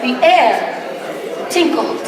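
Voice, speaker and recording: A hoarse, raspy-voiced woman performing spoken word poetry on a stage, slow and emphatic, chatting casually with the audience between poems. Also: sits high, sing-song. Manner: slow, emphatic dramatic delivery with chatty asides to the crowd. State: hoarse, extra raspy today. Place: live stage event in a large hall. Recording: echoey hall, distant mic, audience audible.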